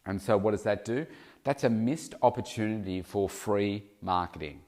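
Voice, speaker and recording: A middle-aged man with a somewhat low voice hosts his own podcast as a coach. He sounds a touch nasal from hay fever. He thinks aloud in a loose, casual way, often stopping to correct himself mid-sentence. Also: moderate pace, a little mellow. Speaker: podcast host and weight loss coach. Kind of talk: casual solo podcast talk.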